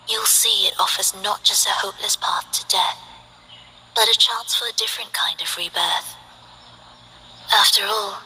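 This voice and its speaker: A woman playing a soft-spoken, detached and tragic guardian of the underworld in a video game, with a breathy, measured delivery. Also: medium pitch, full character voice, rough recording. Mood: cool, persuasive. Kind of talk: scripted video game character acting.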